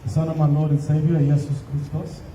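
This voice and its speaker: A young man from Australia, reading a solemn, measured prepared speech at an outdoor rally. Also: a little high, flat delivery, mellow, a little hiss or hum. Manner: solemn, measured, read from notes. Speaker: rally speaker. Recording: distant, echoey, dull outdoor recording.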